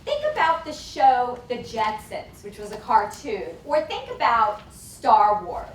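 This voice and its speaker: A woman's voice: a little high, sing-song. Woman conference speaker, emphatic academic delivery, distant and echoey with faint hiss. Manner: emphatic, deliberate lecturing. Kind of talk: academic lecture. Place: conference hall. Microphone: lapel mic. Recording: echoey hall from far off, faint noise.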